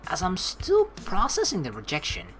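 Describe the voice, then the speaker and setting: Expressive, low-pitched woman gaming streamer. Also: moderate pace. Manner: expressive, sweary, dramatic. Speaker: let's play streamer. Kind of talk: casual gaming commentary, reading game text aloud and improvising replies. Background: game music.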